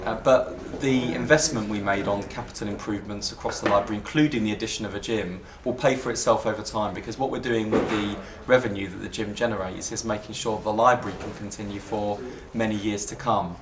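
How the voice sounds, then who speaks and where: A man's voice: fairly low pitch, fairly even intonation, a little fast, hardly any pauses, reverberant, very noisy. A man speaking for a local council, confident and polished in an interview. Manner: confident, polished official talk. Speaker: council spokesman.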